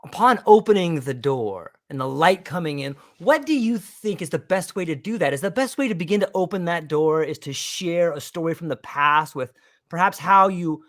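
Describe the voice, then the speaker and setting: A man hosting a podcast, introducing his guest with showman-like enthusiasm. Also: high-pitched. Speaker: podcast host. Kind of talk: podcast interview.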